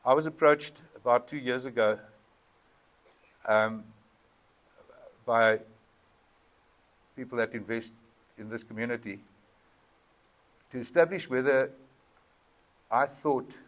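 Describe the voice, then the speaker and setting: A South African man chairing a town meeting, speaking earnestly and haltingly with many ums and switching between Afrikaans and English, into a PA microphone in a hall. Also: medium pitch. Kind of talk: public address at a town meeting. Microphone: PA microphone with feedback.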